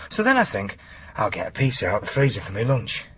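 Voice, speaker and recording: An actor playing a controlling, suspicious working-class husband in an intimate radio drama. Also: male voice, fairly low pitch, wildly swooping pitch, fairly quick, a bit bright, slightly rough, exaggerated voice. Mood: quietly menacing.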